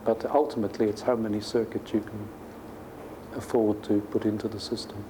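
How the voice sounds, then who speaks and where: A man's voice: lowish voice, flat delivery, brisk. A male professor explaining technical ideas informally in a lecture, thinking aloud in a conversational way. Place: lecture room at a university. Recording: clearly noisy lecture room recording.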